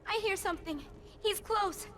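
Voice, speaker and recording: A woman's voice: very high-pitched, normal speed, pushed and bright, a little hiss or hum. A woman voicing a frightened, trusting young girl in a video game, earnest and urgent. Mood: anxious. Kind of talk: dramatic video game voice acting.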